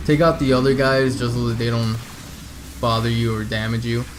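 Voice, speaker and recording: This is a man hosting a video game walkthrough. He talks casually and informally, addressing viewers directly as he lays out each step. His voice sits at an ordinary middle pitch, with some noise behind it. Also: little pitch movement, moderate pace.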